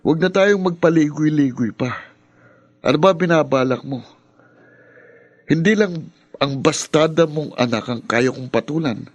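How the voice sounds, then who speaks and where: An actor playing an insolent, combative young son who speaks with sarcasm in a Filipino radio drama. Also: male voice, a little high, measured pace, pauses often, no echo, highs cut off. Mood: menacing.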